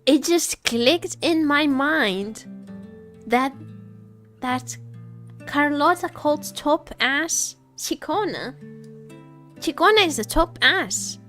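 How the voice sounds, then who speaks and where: Girl streaming a game, bit high voice, talks slowish, gushy, rambles out loud. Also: animated. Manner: gushing, giggly, thinks aloud with repeated phrases. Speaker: livestreamer. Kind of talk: casual live game reaction commentary. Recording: game music behind the voice.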